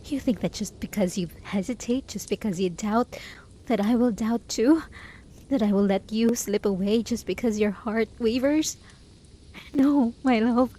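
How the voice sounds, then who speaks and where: A woman's voice: medium pitch, warm tone, close to an everyday voice, low-quality recording. A woman playing a lonely, obsessive hermit in an intimate roleplay monologue, pleading desperately with sighs and whispers. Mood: frantic, possessive, then obsessive, bitter. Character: lonely, obsessive, lovesick hermit begging a traveler to stay.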